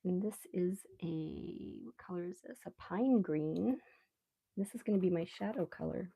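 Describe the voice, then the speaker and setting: Relaxed, creaky-voiced woman chatting while drawing. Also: fairly low pitch. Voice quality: relaxed, soft-edged voice. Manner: rambling, unhurried, thinking aloud. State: relaxed, mildly sedated after anesthetic. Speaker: live stream artist host. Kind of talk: casual live stream chat while drawing.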